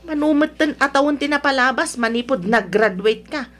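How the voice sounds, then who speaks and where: A sharp-tongued, middle-aged Ilocano mother-in-law from a radio drama, played by a woman. She has a fairly high voice and a nagging, sarcastic, demanding way of berating people. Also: normal speed, close to an everyday voice. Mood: scornful, scolding. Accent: Filipino, Ilocano.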